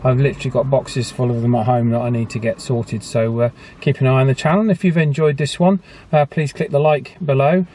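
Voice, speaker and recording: A man's voice: mid-range voice, fairly even intonation, a little fast, a little mellow. A man presenting a casual radio hobby vlog in a chatty, understated, slightly hesitant way. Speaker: host of a radio repair and testing channel.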